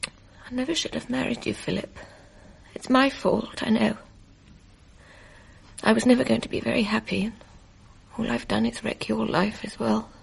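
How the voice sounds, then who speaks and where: Fragile, high-voiced wife. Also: female voice, fairly even intonation, normal speed, vocal fry, long pauses, husky, heavily acted, poor audio. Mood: despairing, brittle. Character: troubled, self-blaming unhappy wife, fragile and evasive. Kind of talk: 1980s BBC radio drama acting. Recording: faint background noise, archive radio.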